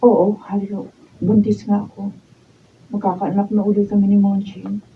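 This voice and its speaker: An actress playing a weary, torn, middle-aged wife in a melodramatic Filipino radio drama. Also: female voice, mid-range voice, completely flat delivery, normal speed, natural voice. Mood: resigned. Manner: melodramatic, tearful. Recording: muffled, noisy, distant microphone.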